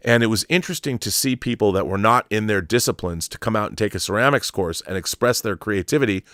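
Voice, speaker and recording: A man's voice: on the low side, quick pace, a bit bright, no room sound, studio quality. An American man hosting a livestream, talking casually and with opinions in a rambling, emphatic way that repeats phrases for stress. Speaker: livestream host. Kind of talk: casual opinionated livestream monologue, reading viewer comments.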